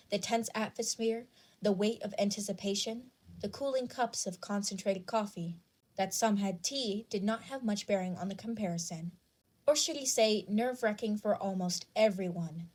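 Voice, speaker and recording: A woman's voice: medium pitch, a little mellow. A woman reading scripted fan fiction as the narrator.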